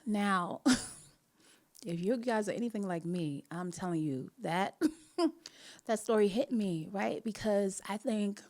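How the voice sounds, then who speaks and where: A woman's voice: mid-range voice, slow, darkish tone. A woman with a Jamaican accent hosting a live online talk, telling a personal story in a chatty, earnest way and often laughing between phrases. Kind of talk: personal storytelling, motivational chat.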